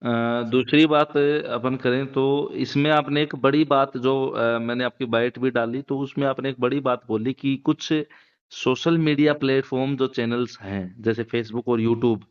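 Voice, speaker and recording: Male news interviewer, smooth tone, roundabout and hesitant questioning, lo-fi call audio. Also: mid-range voice, fairly even intonation, a little slow. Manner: hesitant, roundabout phrasing with repeated filler phrases. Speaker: interviewer, local news reporter. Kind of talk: phone interview, asking questions. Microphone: phone call. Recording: muffled, lo-fi phone call recording.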